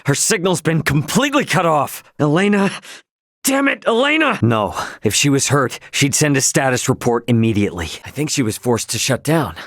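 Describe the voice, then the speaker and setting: A man voicing a brash, loyal young male captain in a video game, talking in an informal, earnest, expressive way. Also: on the high side, normal speed, no echo, clean studio sound. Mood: urgent, worried.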